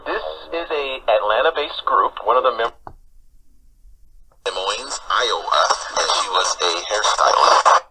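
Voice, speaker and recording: A man's voice: fairly low pitch, animated, measured pace, sharp tone, husky. A man talking in a radio broadcast, heard through a noisy shortwave receiver's small speaker. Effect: shortwave radio reception.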